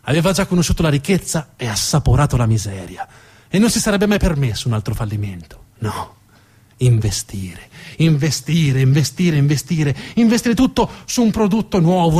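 A man delivering a dramatic narrative theatre monologue with emphatic, deliberate phrasing. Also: fairly high pitch, darkish tone, slightly rough. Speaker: stage storyteller, actor.

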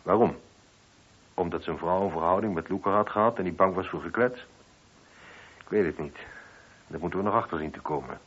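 A man's voice: deep voice, a little fast, long pauses, a bit bright, breathy edge. A man acting a methodical police inspector in a Dutch radio play. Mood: analytical. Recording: dull, muffled old radio recording.

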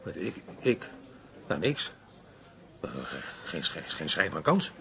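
A man acting an earthy, talkative, hot-tempered working man in a radio play, crude and emphatic, with a husky voice. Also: low voice, big pitch swings, takes it slowly, sharp tone, exaggerated voice. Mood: indignant.

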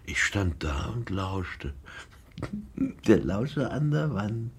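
An actor playing a bitter, insecure middle-aged former general, a wounded son, in a naturalistic radio drama. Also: male voice, on the low side, sing-song, takes it slowly, breathy edge, full character voice. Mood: brooding, confessional.

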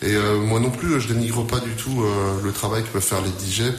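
A man's voice: on the low side, fairly even intonation, brisk. A man from northern Portugal chats on a radio panel, stopping and restarting with fillers. His voice sits in a roomy studio with plenty of echo. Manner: hesitant, many fillers, joking. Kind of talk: casual radio chat.

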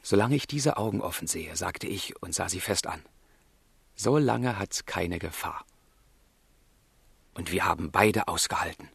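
A man's voice: low voice, expressive, unhurried, creaky, pauses often, a bit bright, husky, dry recording, exaggerated voice. An actor playing an ardent, lovestruck young man in a German literary radio drama, speaking in an emotional, poetic way. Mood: tender, reflective. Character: ardent young romantic man, lovestruck, melancholic letter writer.

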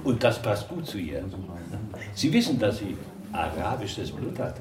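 Slow, swooping, effusive art connoisseur. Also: male voice, fairly low pitch, big pitch swings. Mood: admiring. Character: effusive art connoisseur guiding visitors around a gallery opening. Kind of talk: 1980s German radio crime drama dialogue. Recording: echoey, distant, noisy busy room.

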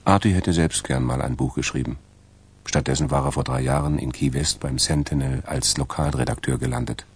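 A man narrating a radio crime drama in a measured, dry way, with a gravelly, husky voice. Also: a bass voice, fairly even intonation, full character voice. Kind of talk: scripted crime story narration.

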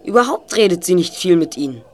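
A woman's voice: on the low side, expressive, fast, a little hiss or hum, lightly acted. A woman playing an earnest, analytical teenage boy detective in an audio drama, precise and inquisitive. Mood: serious, puzzled.

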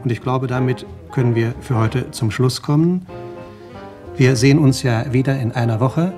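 A man's voice: medium pitch, moderate pace, dark tone. A man closing a programme as host with a formal, polite broadcast sign-off. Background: clear music.

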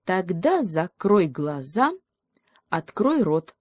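A woman's voice: a little high, sing-song, a little slow, a little mellow, no room sound, clean studio sound, pitched above the performer's own voice. A woman voicing a sly male hedgehog in a children's story, speaking with mock gravity and teasing deliberation. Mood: commanding.

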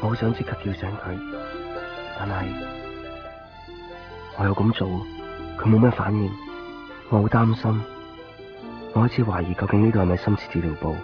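Man acting brooding lover, deep voice, Hong Kong Cantonese, introspective radio drama narration, muffled lo-fi sound. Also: steady tone, normal speed, long pauses, lightly acted. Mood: tender, worried. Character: brooding, lovelorn man searching a night hospital. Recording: dull lo-fi radio recording, faint music.

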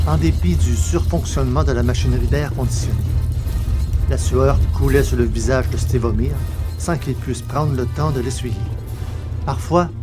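A man reading scripted narration for a science fiction audio drama in a measured, literary storytelling manner. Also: medium pitch.

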